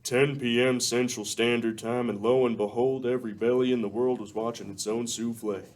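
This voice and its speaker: A man's voice: medium pitch, steady tone, normal speed, lightly acted. A man narrating a radio play in a scripted, dramatic storytelling style. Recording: echoey room, faint noise.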